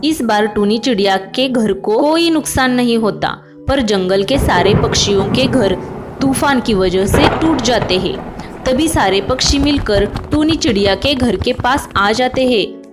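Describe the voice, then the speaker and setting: A woman's voice: fairly high pitch, a little slow, over music. A woman reading scripted narration for a children's cartoon moral story.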